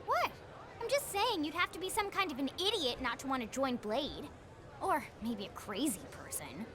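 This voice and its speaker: A woman's voice: high voice, very animated, normal speed, a crowd in the background, noisy background. An actress playing a perky, eager young woman guiding a tour in a video game, with a bubbly, playful, pushy manner. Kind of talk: video game cutscene acting.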